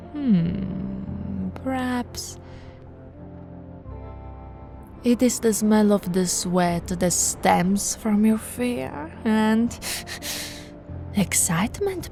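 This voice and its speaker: A woman voicing a possessive, seductive, haughty vampire noblewoman in an intimate roleplay, with theatrical drawn-out words and breathy whispers. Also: mid-range voice, dark tone, full character voice. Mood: seductive, hungry. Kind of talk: intimate ASMR audio roleplay.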